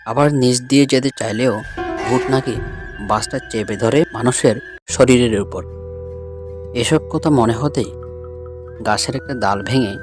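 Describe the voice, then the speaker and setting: Casting: male storyteller, middle-pitched voice, scripted spooky-tale narration, clear music bed underneath. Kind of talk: scripted first-person ghost story narration.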